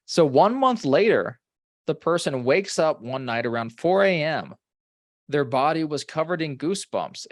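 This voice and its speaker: A man's voice: on the high side, dry recording. A man co-hosting a comedy podcast, reading ghost stories aloud with wry, bantering asides. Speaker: podcast co-host.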